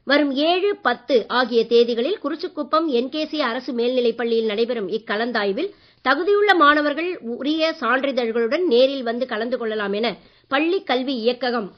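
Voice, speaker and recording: A woman newsreader reading a regional radio bulletin in a formal, measured way. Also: high-pitched. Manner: measured, even delivery. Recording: dull lo-fi radio broadcast.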